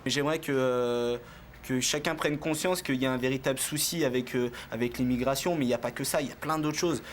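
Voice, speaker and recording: A man's voice: medium pitch, brisk. A man giving casual, slangy answers in an interview, hesitating and repeating his phrases. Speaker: street interviewee. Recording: faint background noise.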